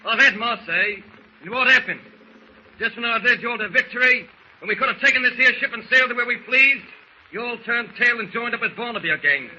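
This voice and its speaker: An aggrieved Cockney sailor in a 1940s radio serial. The voice is high and piercingly bright, full of sulky grumbling. The recording is dull, with faint noise. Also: male voice, animated, fairly quick, exaggerated voice. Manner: grumbling, repetitive.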